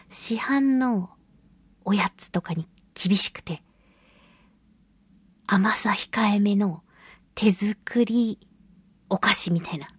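Woman radio host with a slightly husky voice. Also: mid-range voice, dry recording. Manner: self-deprecating and giggly; acts out little quoted remarks while storytelling. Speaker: radio talk show host; voice actress. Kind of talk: casual solo radio chat, telling personal anecdotes.

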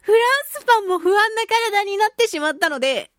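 A woman with a high, very lively voice hosts a casual radio show. She is chatty, expressive and giggly, moving easily between reading mail and reacting to it. The sound is dry and close. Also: brisk, dry recording. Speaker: radio show host and voice actress.

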